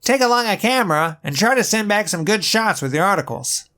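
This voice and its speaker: A man voicing a brusque male newspaper editor in a comic dub, bossy and brisk. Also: sits high, expressive, sharp tone.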